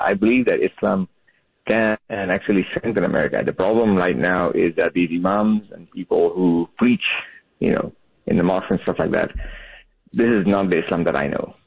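A man's voice: deep voice, brisk, vocal fry, breathy edge. A man from Bangladesh calling in to a radio show, speaking earnestly over a muffled phone line. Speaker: caller. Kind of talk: phone-in call.

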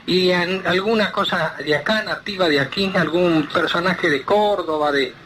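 A man's voice: high voice, normal speed, fairly bright tone. A man with a Rioplatense Argentine accent in a casual phone conversation, rambling and hesitant in his phrasing. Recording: noisy phone call.

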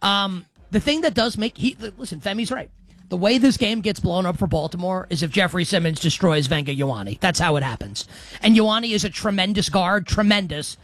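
High-voiced joking male sports co-host. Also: moderate pace, fairly bright tone, no room sound. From Greek heritage. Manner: jokey, self-deprecating, calls plays live. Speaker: sports betting show co-host. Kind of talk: casual sports betting chat with play-by-play.

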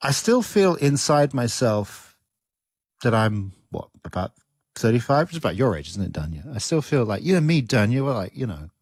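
A man's voice: mid-range voice, sing-song, moderate pace, darkish tone, husky, no room sound, clean studio sound. A sixty-year-old man hosting a casual chat, musing in a wry, self-mocking way. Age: 60s. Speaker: host.

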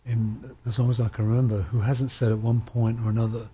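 A man interviewing guests in a relaxed chat, often repeating words and restarting his sentences. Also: medium pitch, fairly quick, a little hiss or hum. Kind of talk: casual conversational interview.

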